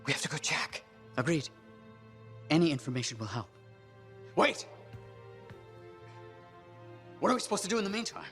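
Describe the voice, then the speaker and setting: An actor playing an eccentric, excitable male inventor split into squabbling selves in a video game, muttering, stammering and bickering with himself. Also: on the high side, quick pace, soft background music. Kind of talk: cinematic video game acting.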